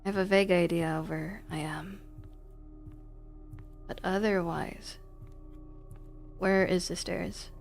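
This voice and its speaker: A woman's voice: fairly low pitch, steady tone, slow pace, gravelly, pauses often, soft background music. A woman giving casual, informal livestream commentary on horror games, thinking aloud in a hesitant way. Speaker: video game livestreamer.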